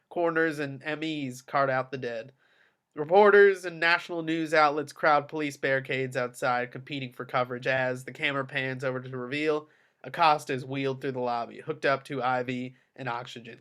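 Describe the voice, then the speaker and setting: Bright-voiced man narrating a screenplay. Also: medium pitch, clear, resonant tone. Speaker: table read narrator. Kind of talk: dramatic screenplay action narration.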